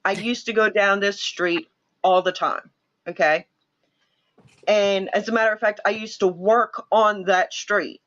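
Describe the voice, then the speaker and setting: Bright-voiced woman chatting on a livestream. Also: mid-range voice, steady tone, measured pace, muffled recording. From New York. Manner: chatty, rambling storyteller who addresses viewers. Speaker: livestream host. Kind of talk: casual chat.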